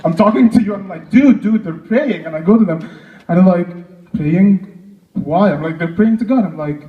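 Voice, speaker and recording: A young man doing conversational stand-up comedy, halting and self-deprecating, acting out short exchanges. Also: high-pitched, recorded from a distance. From Egypt. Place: live stand-up show in an echoey room. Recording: dull, echoey live room recording.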